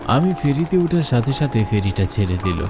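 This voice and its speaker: A man plays an earnest young peddler telling his own ghost story for a horror cartoon. His voice sits at a middle pitch and is dramatic and expressive. Music plays clearly behind him. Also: normal speed. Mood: suspenseful narration. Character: earnest young male cloth peddler narrating his ghostly ferry journey. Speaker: first-person narrator.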